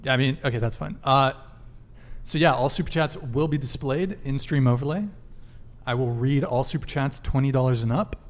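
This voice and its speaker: A man hosting a live podcast, running through announcements and promos in a brisk patter with fillers. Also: medium pitch. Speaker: podcast host.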